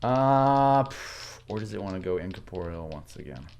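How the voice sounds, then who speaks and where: A man's voice: low-pitched, very animated, normal speed, creaky, darkish tone. A man acting as game master, casually narrating a tabletop roleplaying game.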